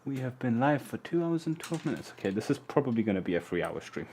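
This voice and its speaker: A man's voice: medium pitch, very animated, quick pace. A man from Poland hosting a casual live stream, chatting in a rambling way and humming to himself as he works. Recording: loud background noise.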